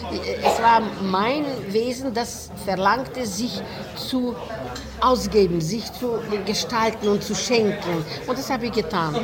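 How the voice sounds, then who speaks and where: A woman's voice: a little high, unhurried, crowd noise. A woman being interviewed as a performer, answering earnestly and insistently.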